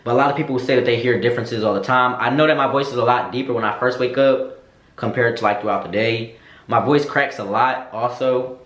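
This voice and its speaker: Young man, vlogger, sassy and candid, slang-heavy talk, mid pitch, recorded in a roomy echoey space. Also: fairly quick, a bit bright. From United States. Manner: sassy, candid, slangy, addresses viewers as girl. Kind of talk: casual Q&A chat to camera. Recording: echoey room.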